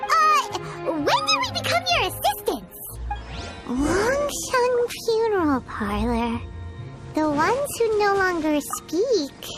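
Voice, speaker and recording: Super squeaky tiny fairy girl, cutesy, slow and swoopy, game character, loud music behind. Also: wildly swooping pitch, full character voice. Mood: puzzled, indignant. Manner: cutesy, refers to self by name. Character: tiny childlike female fairy companion, naive and outspoken. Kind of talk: video game voice acting.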